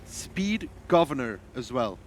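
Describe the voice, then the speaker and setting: A man calling a live esports match, with quick teasing banter and brisk play calls. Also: mid-range voice, sing-song, a little hiss or hum.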